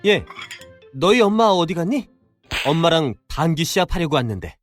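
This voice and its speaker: A man's voice: on the high side, sing-song, moderate pace, soft background music. A man voicing a brash, boastful bachelor in a children's folktale, with exaggerated, cocky delivery.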